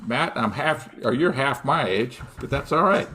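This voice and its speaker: A man in his late sixties hosts a casual live-stream chat with an architect guest. He talks haltingly, with many fillers and restarts, and laughs often. His pitch sits in an everyday middle range. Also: lively intonation, sharp tone. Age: 60s. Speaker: host.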